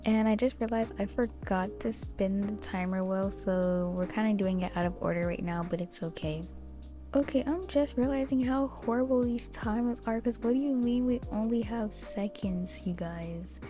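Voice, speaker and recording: A woman's voice: mid-range voice, steady tone, normal speed, music in the background. A young woman giving casual, chatty commentary over a video game as host.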